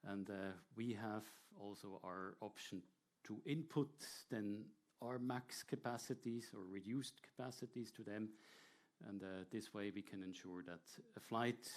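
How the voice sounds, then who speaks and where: A man presenting a technical talk to an audience in a measured, explanatory way, with short hesitant pauses. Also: lowish voice. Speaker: air traffic control presenter.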